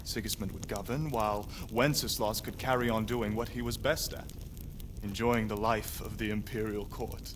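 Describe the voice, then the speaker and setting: A man's voice: lowish voice, steady tone, normal speed, noisy background, lightly acted. A man playing a shrewd medieval noble councillor in a video game, composed and explaining things in a reasoned way. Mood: composed, explanatory. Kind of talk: dramatic video game acting.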